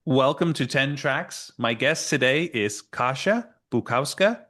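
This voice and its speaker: A man hosting an interview podcast, talking in a halting, conversational way. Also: mid-range voice, lively intonation, pushed and bright. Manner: halting, with fillers and restarts. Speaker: podcast host. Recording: faint guitar music behind.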